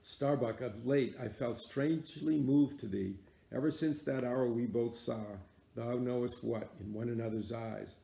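A grim, obsessed old sea captain with a deep gravelly rasp and a dark, mellow tone, played by a man reading aloud. He commands his crew in archaic, solemn speech, and the voice sits in an echoey room. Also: unhurried. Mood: brooding.

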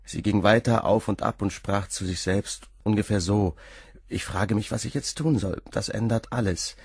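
Husky, anxious young suspect. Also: male voice, lowish voice, normal speed, no echo, clean studio sound. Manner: hesitant, anxious answers. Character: nervous young man, pale, agitated suspect. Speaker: suspect being questioned. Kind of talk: radio drama acting.

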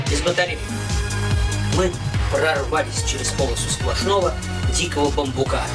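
A middle-aged man performs a scripted story with dramatic emphasis. His delivery is theatrical, set slightly away from the mic with music clearly behind it. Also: on the high side, far from the mic. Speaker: author narrating own story.

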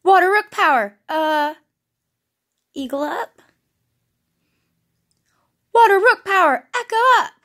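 A woman voicing an excitable, clumsy young cartoon heroine with magic powers, in a theatrical amateur audition style. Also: very high-pitched, slow, pauses often, exaggerated voice. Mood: excited, heroic, then confused, flustered.

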